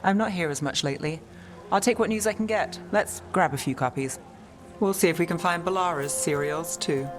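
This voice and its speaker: Self-reliant female game detective, woman acting, cool delivery over clearly audible music. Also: fairly low pitch, brisk, lightly acted. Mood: cool, matter-of-fact. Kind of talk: video game dialogue.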